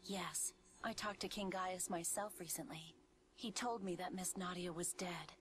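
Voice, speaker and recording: A woman's voice: on the low side, a little slow, fairly bright tone. A woman voicing a humble, sorrowful young servant in a game cutscene, speaking politely and confidingly. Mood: subdued. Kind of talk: scripted game cutscene acting.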